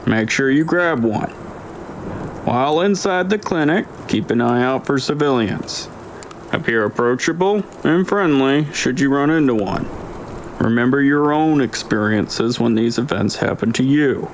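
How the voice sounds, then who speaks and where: A man voicing an aged, stern and cautious crew leader giving deliberate, commanding instructions in an audiobook drama. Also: medium pitch, expressive, a little hiss or hum, voice pushed up, close to an everyday voice, low-quality recording. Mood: firm, briefing.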